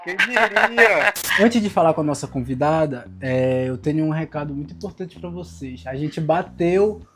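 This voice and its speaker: A man hosting a casual podcast, talking in an energetic, slangy way and hyping up the studio. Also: fairly high pitch, moderate pace, a little hiss or hum. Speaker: podcast host. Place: studio in a house.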